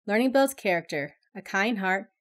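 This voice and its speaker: A woman's voice: medium pitch, expressive, moderate pace. A woman, around her thirties, talking to young children in an instructive way as a story-time host. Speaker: children's read-aloud channel host.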